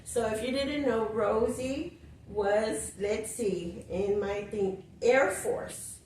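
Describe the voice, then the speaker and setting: A woman hosting a casual interview, giggly and drawn out as she asks questions. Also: on the high side. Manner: warm, giggly, slow and drawn out. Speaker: host. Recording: roomy echo, distant mic, faint hiss.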